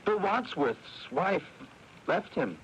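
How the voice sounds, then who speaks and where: A higher-pitched, very animated man, spoiled and quick-tempered. He needles and sneers, repeating himself as he goes. It is old radio drama, with a faint hiss over it. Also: muffled recording, full character voice. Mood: restless, bitter amusement. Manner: needling, sneering, with stammered repetitions. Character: hot-tempered, spoiled, self-pitying younger brother. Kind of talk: 1980s radio drama acting. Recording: hissy 1980s AM radio airing.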